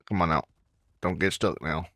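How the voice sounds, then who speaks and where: A man giving casual, halting gameplay commentary, muttering as he plays. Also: sits low, fairly even intonation, fairly bright tone, dry recording, clean studio sound. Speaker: gamer recording a playthrough.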